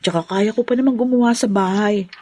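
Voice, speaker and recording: An actress with a Filipino accent playing a homely, easygoing young newlywed wife in a radio drama, chatty and expressive. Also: female voice, medium pitch, brisk, lightly acted.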